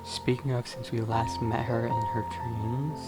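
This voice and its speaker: A woman's voice: extremely low pitch, steady tone, measured pace, loud music behind the voice. A woman making casual, dry, sarcastic remarks while playing a game. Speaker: gaming channel player commenting on the game. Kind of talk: casual offhand reactions.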